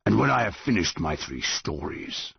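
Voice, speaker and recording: A man voicing an ancient, towering male tree monster that speaks in a slow, grand, commanding way. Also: low voice, sing-song, creaky, slightly rough, no echo, lo-fi sound, heavily acted. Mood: stern.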